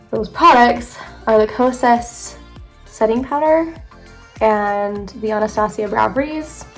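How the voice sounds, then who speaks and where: Young woman beauty vlogger, rambling and chatty, slightly stuffy nose, fry in a higher voice, soft music under. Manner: chatty, rambling, self-correcting. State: congested from allergies. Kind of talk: chatty makeup tutorial.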